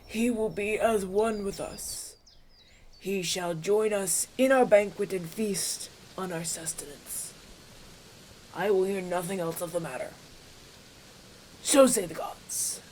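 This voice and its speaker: A man's voice: high voice, slow, long pauses, darkish tone, slight background noise, low-quality recording. A man playing a solemn, pious village elder in a fantasy audio roleplay, speaking in a formal, ceremonial manner. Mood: solemn, reverent. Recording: outdoor nature ambience with birdsong behind.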